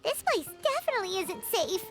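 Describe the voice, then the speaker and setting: A woman voicing a tiny, childlike, excitable fairy companion in a video game, expressive and blunt. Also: very high voice, brisk, over music. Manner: expressive, refers to self in third person.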